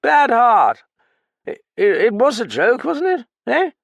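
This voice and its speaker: A man's voice: extremely high pitch, wildly swooping pitch, slow pace, vocal fry, no echo, voice pushed up, exaggerated voice. A man voicing a drunken, terrified older male pianist who talks in slurred, clipped fragments and pleads. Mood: drunk pleading. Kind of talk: audiobook character voice.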